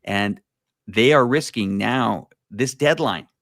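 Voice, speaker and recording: A man's voice: lowish voice, slow, a bit bright, no room sound, studio quality. A man co-hosting a livestream, speaking in a casual, rambling way with many fillers and the odd laugh. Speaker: co-host.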